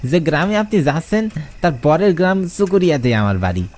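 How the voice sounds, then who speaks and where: A man's voice: on the high side, very animated, fairly quick, darkish tone. A man voicing a superstitious village rickshaw puller who speaks respectfully and pleads urgently. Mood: calm.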